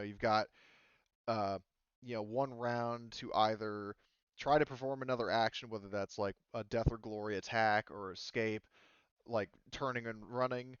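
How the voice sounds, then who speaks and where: Smooth, low-voiced man running a game. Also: no room sound, dull audio. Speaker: game master. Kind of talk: casual tabletop game narration and rules talk.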